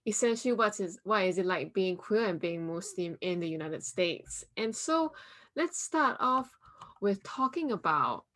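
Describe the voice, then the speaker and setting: A woman's voice: mid-range voice, animated, measured pace, a bit bright. A woman hosting an interview, conversational and affirming as she poses open questions to her guest. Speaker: host, interviewer. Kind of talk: university interview show.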